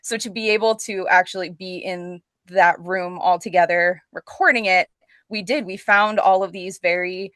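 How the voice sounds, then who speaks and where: A woman's voice: on the low side, fairly even intonation, normal speed, fairly bright tone, no room sound. A woman talking casually as a podcast guest, an actress with an enthusiastic, gushing manner. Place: remote video call.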